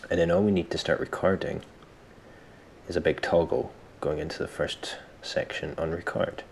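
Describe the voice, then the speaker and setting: A man presenting a software tutorial step by step, informally thinking aloud as he explains. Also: low voice, fairly even intonation, moderate pace, creaky, slight background noise. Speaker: tutorial host.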